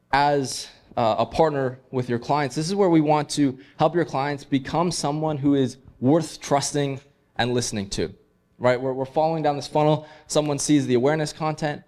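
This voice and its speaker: A young man from Canada speaks from a convention stage in a casual, upbeat way. His pitch sits in an ordinary middle range, and he leans on easy fillers as he explains his ideas. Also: darkish tone. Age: 20s. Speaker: conference speaker. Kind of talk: casual conference presentation.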